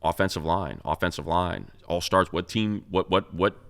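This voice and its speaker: A man's voice: low-pitched, moderate pace, gravelly, a bit bright. A man hosting a sports talk show, casually analyzing in a conversational, explanatory way and posing rhetorical questions.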